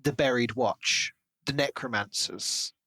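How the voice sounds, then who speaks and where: A male podcast co-host talks through a book in casual conversation. He is analytical and a little wry, speculating as he goes. His mid-pitched voice is recorded dry, with no room sound. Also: unhurried, bright, forceful tone, slightly rough, dry recording.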